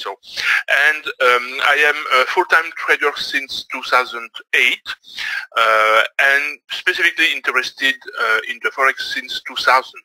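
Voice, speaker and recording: Casting: Belgian man, non-native English, hesitant webinar presenter, forceful bright tone, dry close mic. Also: mid-range voice, no echo, rough recording. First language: not English. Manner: hesitant, many fillers and restarts. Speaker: webinar presenter, trading instructor.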